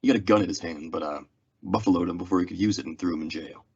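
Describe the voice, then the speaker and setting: A man's voice: sits low, rapid-fire, vocal fry, darkish tone, husky, exaggerated voice. A young man acting a weary, plainspoken Old West marshal in a radio Western drama. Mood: grim narration. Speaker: actor in a school radio theatre production. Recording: dull lo-fi radio-play recording.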